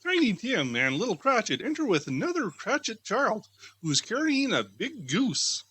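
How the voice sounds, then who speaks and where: High-pitched, raspy male storyteller. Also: huge pitch swings, moderate pace, sharp tone. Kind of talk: scripted storybook narration.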